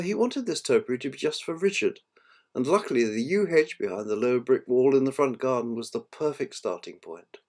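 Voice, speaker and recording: An older man narrating a children's story from a book in a measured, amateur reading manner. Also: mid-range voice, a little mellow. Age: 60s or older. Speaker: author reading his own book.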